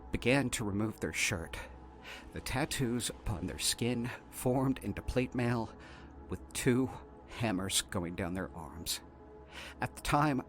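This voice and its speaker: A man playing a shaken, exasperated researcher, haltingly dictating an incident report in an audio drama. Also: fairly low pitch, a bit bright. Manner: halting, flustered, repeating words. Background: faint music.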